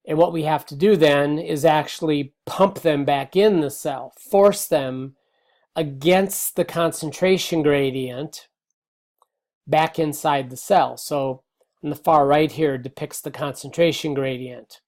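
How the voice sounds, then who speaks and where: Middle-aged man, slightly hoarse, biology lecture, relaxed, lots of ums, webcam in a small room. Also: fairly high pitch, measured pace. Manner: relaxed, explanatory, many fillers and restarts. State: slightly hoarse, clearing throat. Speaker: biology teacher. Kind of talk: recorded slide lecture.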